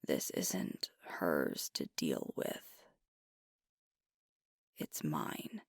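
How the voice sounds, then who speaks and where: A woman acts out a sweet alpha werewolf girlfriend who is hard on herself, in an intimate ASMR roleplay. Her breathy voice often slips into a whisper and is thick with creak. She talks very slowly, with tender, hesitant pauses, in a close, dry sound. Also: extremely low pitch, animated, no room sound, heavily acted. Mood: earnest, resolute. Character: sweet, self-critical alpha werewolf girlfriend, burdened pack leader.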